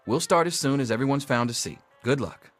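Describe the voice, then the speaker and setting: An actor playing a dry, sarcastic schoolteacher running an exam in a video game. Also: male voice, medium pitch, expressive, fairly quick, soft background music, natural voice. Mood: welcoming, encouraging. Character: sardonic male vault schoolteacher giving an exam. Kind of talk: scripted video game character dialogue.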